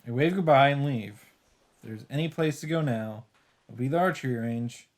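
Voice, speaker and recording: A man's voice: medium pitch, normal speed. A man hosting a let's play, reading visual novel narration aloud and adding dramatic asides as he reacts.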